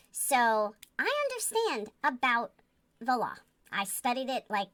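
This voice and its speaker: Woman doing casual online commentary, bright sharp voice, really blunt and emphatic, super sure of herself, dry sound. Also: fairly high pitch, dry recording. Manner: blunt, emphatic, self-assured. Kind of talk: casual opinionated livestream commentary.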